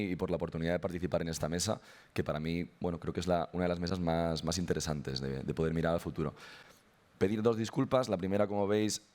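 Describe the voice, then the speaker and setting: Hoarse young man speaking quickly. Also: deep voice, darkish tone. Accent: Spanish. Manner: hesitant, frequent restarts and tag questions. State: hoarse voice after illness. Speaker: conference speaker. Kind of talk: conference talk.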